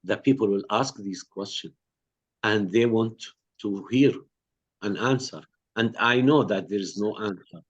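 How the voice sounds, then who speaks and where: Man, lowish voice, earnest, halting repetitions, dull lo-fi call audio. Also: husky. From Palestine. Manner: earnest, halting, repeats words as he searches for phrasing. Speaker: co-director of a peace network, panel speaker. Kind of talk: online panel talk.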